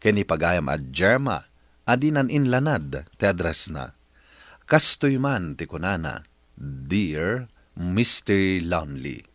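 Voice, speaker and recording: A man's voice: sits low, sing-song, slow, no echo. A man with an Ilocano accent hosting a radio drama, reading out a scripted introduction and a listener's letter.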